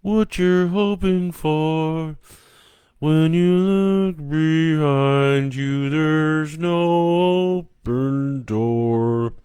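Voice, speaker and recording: A man voicing a woman with an eerie, taunting voice who asks questions slowly and drags out every word. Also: fairly high pitch, dark tone, smooth, no echo, studio quality, pitched above the performer's own voice. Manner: slowed, drawn-out eerie questioning.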